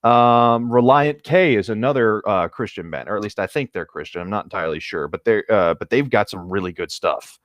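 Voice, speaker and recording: A man's voice: fairly low pitch, animated, a little fast, hardly any pauses, dry recording. A man chatting casually, feeling his way through his sentences in a tentative manner. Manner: hesitant, tentative phrasing. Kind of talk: casual chat.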